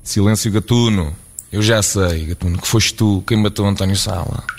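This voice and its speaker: A man's voice: lowish voice, fairly even intonation, moderate pace, a little hiss or hum, lightly acted. A man playing a pompous, aggrieved internet troll turned inquisitor in a comedy radio play, accusatory and mock-erudite, with a European Portuguese accent. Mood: menacing, pompous.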